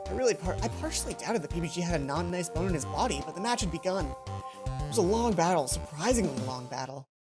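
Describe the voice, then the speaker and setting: A woman's voice: on the low side, lively intonation, quick pace. A woman let's play host reading visual novel text aloud with casual asides, sometimes stumbling and correcting herself. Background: game music.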